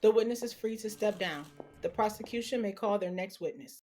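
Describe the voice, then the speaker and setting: A woman playing a brisk, authoritative judge presiding over a court in an audio drama, with formal delivery. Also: mid-range voice, a little mellow, faint music behind, close to an everyday voice.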